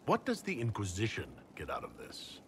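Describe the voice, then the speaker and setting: An actor playing a calm, commanding leader in a video game, measured and reassuring. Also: male voice, medium pitch, a little hiss or hum. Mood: businesslike. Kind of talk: video game dialogue acting.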